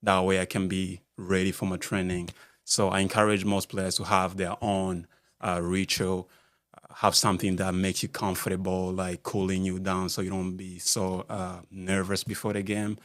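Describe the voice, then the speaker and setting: Deep, flat-voiced man from Rwanda. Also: no room sound. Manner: halting, searches for words, many fillers. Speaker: basketball skills coach. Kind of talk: casual podcast interview. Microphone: studio mic.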